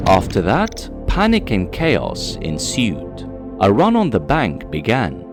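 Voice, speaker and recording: A man's voice: lowish voice, wildly swooping pitch, takes it slowly, music in the background. A man reading scripted documentary narration in a dramatic, emphatic storytelling manner.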